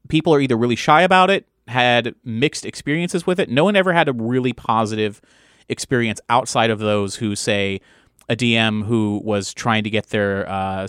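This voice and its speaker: A man's voice: on the low side, a bit bright, smooth, no echo, studio quality. A man chatting casually as a game master, rambling and often rephrasing his thoughts midway. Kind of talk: casual chat.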